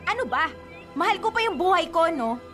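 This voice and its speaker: Young female lead for radio drama: high-pitched, stubborn, expressive girlfriend with faint music underneath. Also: moderate pace, full character voice. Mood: earnest, insistent. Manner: insistent, expressive. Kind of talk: Filipino radio drama acting.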